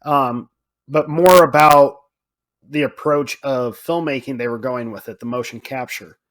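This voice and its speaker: A man's voice: medium pitch, unhurried, poor audio. A man co-hosting a podcast, talking casually and thinking aloud as he goes. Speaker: podcast co-host.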